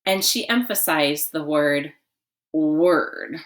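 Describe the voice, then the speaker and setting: A woman reading a children's story aloud expressively, with dramatic pauses and emphasis. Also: sits low.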